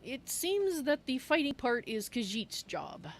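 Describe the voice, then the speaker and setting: A woman's voice: high-pitched, very animated, unhurried, pitched above the performer's own voice, heavily acted. A woman roleplaying a courteous female cat-folk archmage in a fantasy game, speaking of herself in the third person. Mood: earnest. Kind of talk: in-character game roleplay on a livestream. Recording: faint noise and game ambience.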